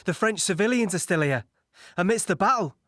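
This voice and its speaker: An actor playing a sombre, conscience-troubled British soldier, giving an earnest, reflective first-person account in a wartime audio play. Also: male voice, sits high, expressive, brisk, fairly bright tone, no echo, clean studio sound. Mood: tense, anguished.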